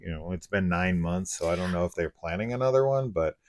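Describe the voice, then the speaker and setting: A man's voice: low voice, lively intonation, a little fast, darkish tone, dry recording, clean studio sound. A man co-hosting a horror review show, talking casually and conversationally about films. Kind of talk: casual conversational film discussion.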